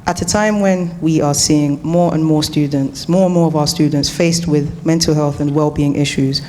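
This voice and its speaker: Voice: mid-range voice, steady tone, normal speed, warm tone, slight background noise. Student officer reading a question.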